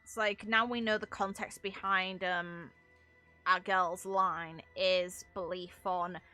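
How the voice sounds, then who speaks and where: A woman's voice: fairly low pitch, fairly even intonation, slow pace, sharp tone, soft background music. A woman giving casual, rambling commentary on game lore, informal and a little sweary, with wry asides. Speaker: gaming video creator discussing game lore.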